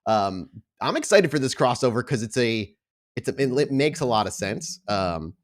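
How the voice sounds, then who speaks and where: A man with an average-pitched voice speaks as a podcast host and game storyteller. His delivery is informal and conversational, like someone chatting off the cuff with friends at the table. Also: big pitch swings, normal speed. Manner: informal, chatty, swears casually. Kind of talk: casual podcast intro, then improvised tabletop game narration.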